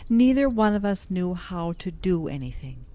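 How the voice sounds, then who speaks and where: A woman playing a rueful, excitable middle-aged woman in an audio drama, plain-spoken and self-deprecating. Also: on the low side, normal speed. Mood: exasperated, reflective. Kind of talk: naturalistic audio drama acting.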